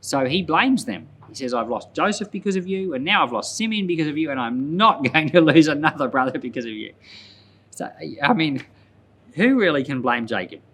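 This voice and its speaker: A man's voice: a little high, sing-song, a little fast, fairly bright tone, breathy edge. A man speaking as a pastor in conversational Bible commentary, explaining things and often repeating and rephrasing points for emphasis. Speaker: pastor and Bible podcast host.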